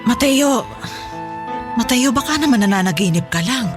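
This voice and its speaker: Actress as a worried, animated Filipino mother. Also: fairly high pitch, normal speed, music in the background, natural voice. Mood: insistent. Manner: coaxing, insistent, emotional. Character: worried, firm, pleading Filipino wife and mother. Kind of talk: radio drama acting.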